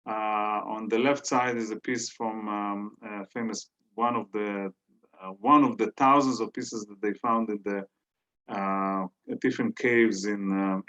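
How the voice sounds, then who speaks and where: A man's voice: fairly low pitch, a bit bright. A man whose first language is Hebrew, giving an informal lecture with many fillers and restarts. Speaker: guest lecturer. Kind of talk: informal lecture on biblical archaeology. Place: synagogue hall. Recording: dull lo-fi sound.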